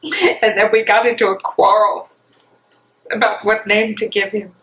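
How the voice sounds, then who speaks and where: A woman's voice: mid-range voice, little pitch movement, a little slow, a bit bright, natural voice. A woman playing an emotional young German fiancée of an imprisoned dissident in a dramatic stage reading. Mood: wistful, tender. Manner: emotional, accusing, swings to tender. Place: library meeting room, live reading. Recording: echoey room, noisy with clicks.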